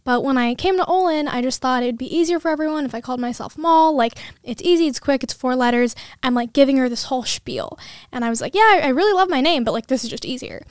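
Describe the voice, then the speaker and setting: A woman's voice: high voice, expressive, quick pace, smooth, dry recording, clean studio sound. A woman in her twenties hosting a podcast, talking in an earnest, effusive casual way with lots of fillers. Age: 20s. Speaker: podcast host and student.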